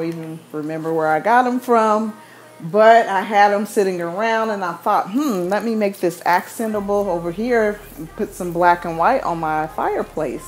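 A woman in her thirties walking through her house, cheerfully showing off the decor. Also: on the low side. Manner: upbeat, gushing over decor. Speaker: vlogger giving a home tour. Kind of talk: casual home tour narration. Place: inside a house, walking room to room.

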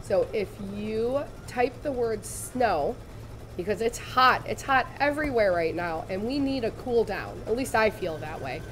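A woman, slightly raspy from laryngitis, giving a chatty, upbeat live sewing tutorial in a studio. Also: fairly high pitch, animated, unhurried, slight background noise, poor audio. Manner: chatty, upbeat, addresses viewers directly. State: recovering from lost voice. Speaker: embroidery demonstrator. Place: studio set with shelves, indoors.

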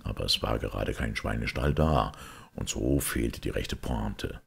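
Male audiobook narrator for detective fiction: extremely low, husky, full of fry. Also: unhurried. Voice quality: gravelly. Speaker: audiobook reader. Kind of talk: scripted literary narration of a detective story.

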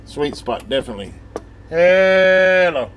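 A man's voice: on the high side, sing-song, slow, a bit bright, slight background noise. A man giving a casual, rambling hands-on radio demonstration, thinking aloud in a folksy, informal way. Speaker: hobbyist presenter demonstrating a CB radio.

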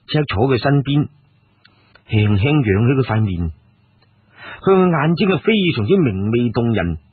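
A man with a Cantonese accent telling a story dramatically, expressive, with drawn-out phrases and colloquial interjections. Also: medium pitch, husky, dry recording. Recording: narrow, radio-like band, dry.

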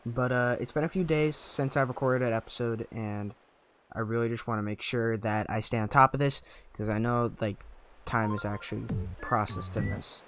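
Young man, slightly raspy from a cold, goofy let's-play commentary, faint background music. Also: medium pitch, moderate pace. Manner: goofy, jokey and excitable. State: sick and a little raspy. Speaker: gaming YouTuber.

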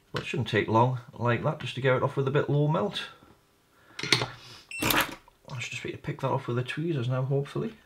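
A man's voice: mid-range voice, slight background noise. A man giving a casual hands-on repair walkthrough, informally explaining each step and thinking aloud. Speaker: hobbyist electronics repair presenter.

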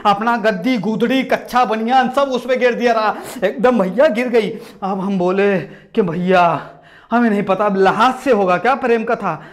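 A young man doing stand-up, retelling a movie in a rustic storyteller's manner. He shouts at the characters and acts them all out, recorded on a lapel mic in a small room. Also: high-pitched. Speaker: stand-up comedian. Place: small indoor room with posters. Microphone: lapel mic, camera at mid distance.

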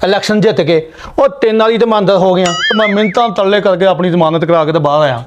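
A man's voice: high-pitched, fast talker, background noise, close to an everyday voice. A middle-aged man playing a boastful local politician, brash and blustering. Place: small bedroom indoors.

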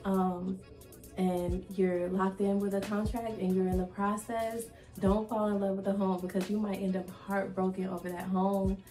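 A young woman vlogging to camera in a casual, chatty way, her voice slightly raspy from a recent cold, with many likes and ums. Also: medium pitch, flat delivery, normal speed, dark tone. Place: indoor room, plain wall and plant. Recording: echoey room with background music.

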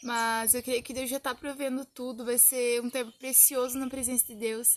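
A young woman with a Brazilian accent speaks in a fairly high voice. She addresses viewers informally, as a missionary asking for support, recorded indoors in a small room. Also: level tone, normal speed, a little hiss or hum. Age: young adult. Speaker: missionary fundraiser. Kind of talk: informal video appeal to viewers.

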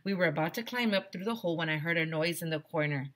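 A middle-aged woman reads a children's story as a teacher would, expressively. Her voice sits fairly low, picked up on a headset in a small room. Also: quick pace, a bit bright. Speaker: teacher reading aloud. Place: small decorated room indoors.

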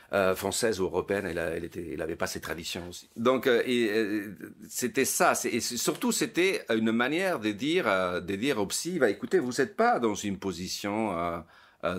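A man in his late fifties, a psychologist giving an interview, thinking aloud and often stumbling over small repeated words as he searches for the next phrase. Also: lowish voice. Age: 50s. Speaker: psychologist, guest. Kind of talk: reflective interview talk.

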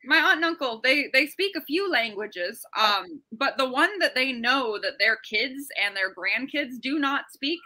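Very bright, animated woman. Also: high-pitched, measured pace, few pauses, poor audio. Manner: animated storyteller, swears casually. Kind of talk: casual chat. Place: home room on a video call. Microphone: video call.

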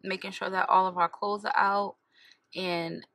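A woman's voice: medium pitch, level tone, a little slow, fairly bright tone, no room sound. A woman in her thirties chatting casually to camera from a car's passenger seat, often correcting herself as she talks, recorded on a camera held at arm's length. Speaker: vlogger. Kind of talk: casual vlog chat to camera. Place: inside a car, passenger seat.

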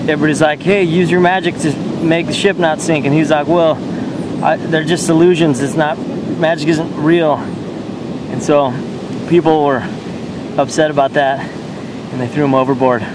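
A man on a rocky beach telling made-up stories in a deadpan way to a handheld camera. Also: on the high side. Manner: deadpan, tall tales told straight. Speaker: vlogger. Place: rocky beach outdoors by the surf. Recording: loud surf noise outdoors. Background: loud ocean waves.

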